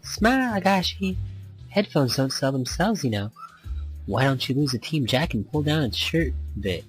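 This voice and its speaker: A man's voice: medium pitch, expressive, normal speed, husky, soft background music, pitched above the performer's own voice, low-quality recording. A man voicing a creepy, patronizing photo-shoot boss in a visual novel game, oily and pushy. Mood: leering, patronizing.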